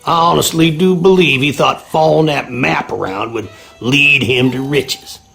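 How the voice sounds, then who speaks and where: An actor playing a folksy, skeptical handyman in a video game, with a gravelly Southern US drawl. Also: fairly high pitch, moderate pace, sharp tone, soft background music. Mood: wry, folksy.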